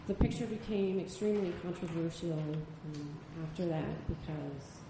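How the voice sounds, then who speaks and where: A soft-spoken woman photojournalist answering a panel question, hesitant and full of ums. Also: sits low, level tone, normal speed. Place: gallery event space. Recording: echoey room, far mic, background noise.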